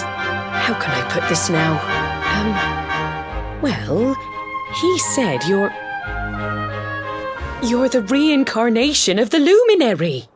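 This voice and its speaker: An animated, homely village mum. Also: female voice, medium pitch. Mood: hesitant. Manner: homely, chatty, expressive. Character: fussing, affectionate middle-aged village mother, plain-spoken. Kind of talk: video game cutscene acting.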